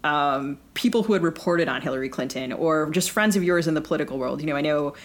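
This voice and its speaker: Female podcast co-host, distinctly low voice, casual bookish interview style, builds up long winding questions. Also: fast talker.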